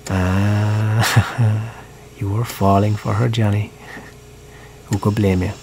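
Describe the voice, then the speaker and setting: An actor playing a probing, reflective writer with an Irish accent, narrating and talking in a radio drama. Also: male voice, on the low side, little pitch movement, takes it slowly, a little mellow, a little hiss or hum. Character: probing, reflective male writer telling a troubled friend's story.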